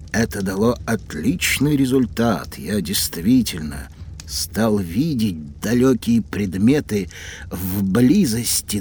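An actor playing a proud, absorbed Italian scientist of the seventeenth century in an educational audio drama, speaking deliberately and theatrically with pauses. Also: male voice, on the low side, darkish tone, a little hiss or hum.

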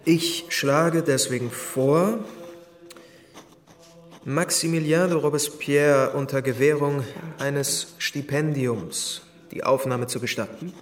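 Man as deliberating senior cleric, radio drama: medium pitch, formal, authoritative, pondering. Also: steady tone, close to an everyday voice. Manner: pondering, pausing with hums, formal. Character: commanding, deliberating abbot dictating a letter.

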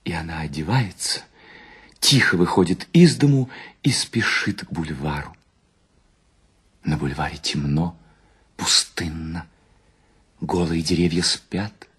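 A man reading a short story as an actor, in a theatrical, expressive and ironic storytelling manner. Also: low-pitched, slow, long pauses, breathy edge. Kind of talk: theatrical dramatic literary narration with acted dialogue.

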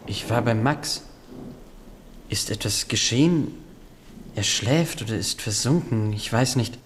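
An actor playing an earnest, troubled young man in a radio drama, with a hesitant, husky and breathy delivery. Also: medium pitch, measured pace, slight background noise, lightly acted. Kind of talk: German literary radio drama acting.

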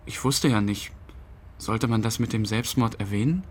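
An actor playing a numb, grieving widower who recalls events tersely in an interview-like radio drama. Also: male voice, on the low side, moderate pace, a little mellow, slightly rough, faint music behind. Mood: numb, bitter.

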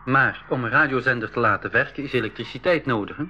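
A man's voice: medium pitch, fast talker, a little hiss or hum. An actor playing an eager, inquisitive boy adventurer in a school radio play. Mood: curious, eager. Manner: inquisitive, animated. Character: eager, curious boy adventurer who also narrates. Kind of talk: 1980s school radio drama acting. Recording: dull 1980s radio recording.

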